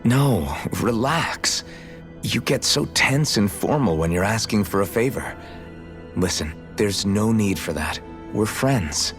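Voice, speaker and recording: An earnest young hero in a game story. The voice is notably deep, with plain-spoken, sincere delivery. There is some music underneath. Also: male voice, lively intonation, slow. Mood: friendly, reassuring. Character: earnest, idealistic young male hero warrior. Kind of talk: dramatic video game cutscene acting. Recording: music playing behind the voice.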